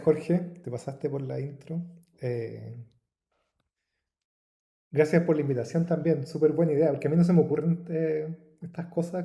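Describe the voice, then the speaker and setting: A man speaks to an online audience over a video call. He talks in a relaxed, chatty way and mixes in English words. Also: on the high side. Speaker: guest speaker, physicist. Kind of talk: casual talk to an online audience.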